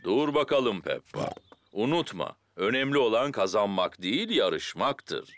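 A man's voice: lowish voice, sing-song, unhurried, fairly bright tone, soft background music, exaggerated voice. A man voicing a jolly, pot-bellied father pig in a children's cartoon, hearty and encouraging. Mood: good-humoured.

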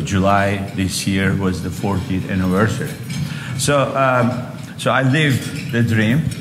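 Man in his 60s, Turkish accent, unhurried onstage storyteller, long hall reverb and clattering cutlery. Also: on the low side, background noise. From Istanbul, Turkey. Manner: relaxed, rambling, many fillers and restarts. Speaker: guest speaker, real estate developer. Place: large hotel ballroom luncheon on stage. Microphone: lapel mic. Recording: echoey ballroom with long reverb, loud dish clatter. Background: clinking cutlery and dishes.

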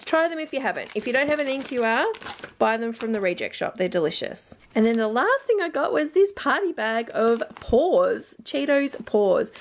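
A woman's voice: a little high, a little hiss or hum. An Australian woman, a mother in her thirties, chatting casually to the camera at home as she shows off her grocery bargains, rambling and thinking aloud about prices. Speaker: vlogger mum showing a grocery haul. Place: home, indoors, carpeted floor. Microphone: camera held over products, filming hands.

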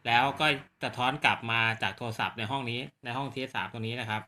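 Casting: man, very bright forceful voice, polite casual gear demonstrator who checks in with viewers. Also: mid-range voice, fast, a little hiss or hum. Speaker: presenter of radio gear demo on his own video channel. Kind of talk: casual hands-on product demonstration.

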